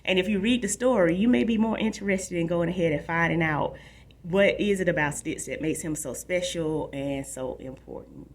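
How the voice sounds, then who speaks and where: A woman's voice: fairly low pitch, normal speed. A woman talking casually to young viewers as a librarian, informal and conversational, often repeating her phrases. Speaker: librarian presenting a book for tweens.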